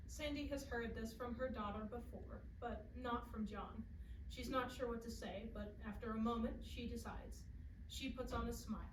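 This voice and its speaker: Echoey, higher-voiced woman narrating a play. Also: steady tone, normal speed. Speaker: stage directions reader. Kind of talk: scripted narration at staged reading. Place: indoor performance room, distant mic. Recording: echoey distant theater recording, noisy.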